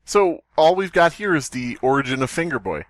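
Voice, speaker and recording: A man's voice: on the high side, very animated, brisk, dry recording. A man hosting a comedy podcast, bantering and reading a story aloud for laughs. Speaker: podcast host.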